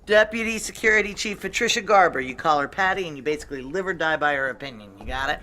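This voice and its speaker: A woman playing a gruff, world-weary ex-Ranger security chief in an audio drama, brusque, wry and commanding. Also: low voice, animated, fast talker, sharp tone. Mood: commanding, dry. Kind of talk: naturalistic audio drama acting with first-person narration.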